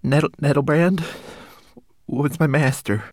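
A man's voice: big pitch swings, unhurried, vocal fry, no room sound, studio quality, full character voice. A man voicing a tiny, tearful male homunculus servant who stammers through a remorseful confession. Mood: sorrowful. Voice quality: high, trembling, stammering.

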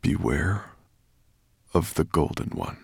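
A man voicing a forgetful old male dragon in a breathy murmur, slow and halting, as in a storybook reading. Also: very deep voice, lively intonation, darkish tone, no echo, clean studio sound, heavily acted. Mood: drowsy, murmuring.

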